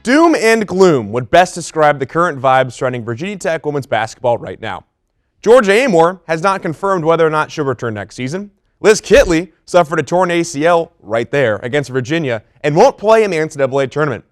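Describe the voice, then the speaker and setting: A young man anchoring a sports news segment and reading scripted stories with lively delivery. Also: on the high side, fairly quick, faint music behind. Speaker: studio anchor.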